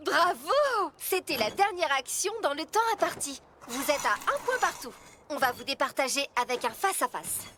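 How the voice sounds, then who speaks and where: A woman's voice: high-pitched, lively intonation, normal speed, noisy background. A woman voicing a cheerful monster truck sports coach in a children's cartoon, instructing and encouraging. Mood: encouraging, impressed. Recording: clear cartoon music behind the voice.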